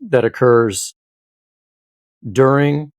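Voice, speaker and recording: A man's voice: mid-range voice, slow, no echo. A man co-hosting a podcast, speaking in a reflective, thoughtful way as he interviews a guest. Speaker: podcast co-host.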